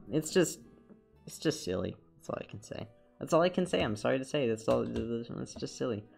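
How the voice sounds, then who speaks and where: A man's voice: lowish voice, vocal fry, darkish tone. Congested young man rambling on stream. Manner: rambling, repeats words and restarts, laughs often. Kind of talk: casual chat while animating on stream.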